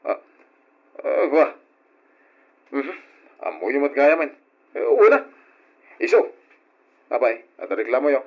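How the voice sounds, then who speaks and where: Brusque Ilocano father, animated pitch. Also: slow, pauses often. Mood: evasive, irritated. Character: defiant, callous father walking out on his family. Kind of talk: old Ilocano radio drama acting. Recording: dull lo-fi old radio recording.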